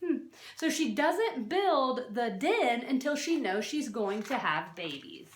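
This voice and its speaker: A woman's voice: a little high, big pitch swings, slow pace, slight background noise. A woman reading a non-fiction children's book aloud like a teacher, expressively, stressing key words and adding short explanatory asides. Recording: roomy, echoey sound.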